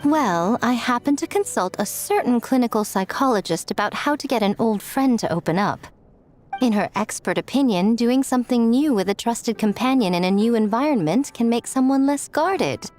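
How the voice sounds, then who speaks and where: An actress voicing a sly, self-assured, playful schemer in an anime game, with a teasing, knowing manner. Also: female voice, a little high, lively intonation, moderate pace, a little mellow, natural voice.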